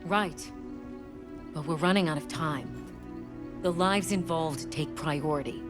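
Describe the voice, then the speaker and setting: A woman's voice: low-pitched, takes it slowly, over music. A woman playing a steadfast, dutiful warrior leader in a video game cutscene. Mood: resolute.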